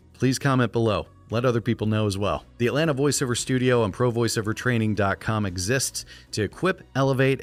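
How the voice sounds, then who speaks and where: An American man with a trained radio voice, coaching viewers in a clear, emphatic, scripted presentational style. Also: medium pitch. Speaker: voiceover coach and video host. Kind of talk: scripted instructional narration to viewers.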